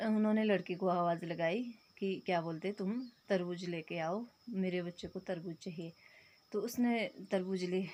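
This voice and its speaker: A woman with a hoarse throat, telling a story casually and haltingly, often restarting her words. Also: lowish voice, darkish tone, slight background noise.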